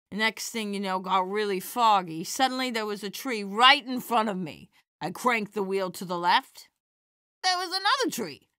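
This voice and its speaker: A folksy old granny comic, acted by a woman, with a bright, forward voice in a dry, close recording. She chats and tells her tales with animation, kindly and playful, in audio drama style. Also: medium pitch, clear, resonant tone, dry recording. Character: elderly widowed granny stand-up comedienne, folksy and kindly. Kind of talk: audio drama dialogue and stand-up routine.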